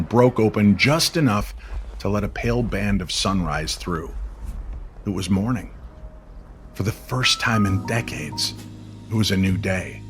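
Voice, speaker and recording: A man narrating an eerie, nostalgic winter-evening style story in a measured, dramatic way. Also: on the low side, fairly bright tone. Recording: music under the voice.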